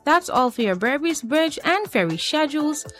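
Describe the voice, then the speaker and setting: A woman, reading a transport schedule announcement in a measured, formal way. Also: high voice, very animated, fairly bright tone. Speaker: radio announcer. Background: faint music bed.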